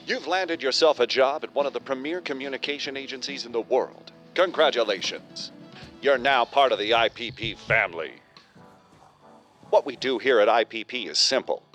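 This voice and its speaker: Actor as corporate video presenter: polished, salesy, chipper male voice of average pitch, scripted promo delivery. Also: lively intonation, moderate pace, fairly bright tone, close to an everyday voice. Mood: slick, cheerful. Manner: polished, salesy, overly cheerful. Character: upbeat corporate training-video presenter that talks back. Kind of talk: scripted corporate promo narration in audio drama. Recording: music underneath.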